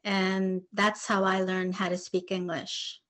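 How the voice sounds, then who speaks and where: A woman's voice: mid-range voice, completely flat delivery, slow, a bit bright. A woman in her sixties from Argentina, a native Spanish speaker, telling her story in an interview as a retired translator.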